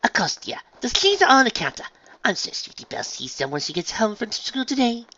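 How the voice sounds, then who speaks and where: A refined female unicorn dressmaker, acted out by a man reading a story, theatrical and effusive. The voice swoops high and bright with excitable flourishes. The recording is slightly dull and lo-fi. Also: brisk, heavily acted. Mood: polite.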